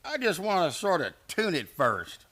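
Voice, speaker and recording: A man's voice: high-pitched, huge pitch swings, moderate pace, very noisy. A man playing a swaggering, mocking cowboy bully in a Western radio play, with a folksy, taunting manner.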